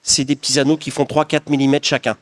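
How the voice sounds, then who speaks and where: A man's voice: mid-range voice, little pitch movement, fast talker, fairly bright tone. A middle-aged man from the Paris region, answering interview questions in an earnest, reflective way with frequent restarts. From Paris region of France. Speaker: craftsman guest.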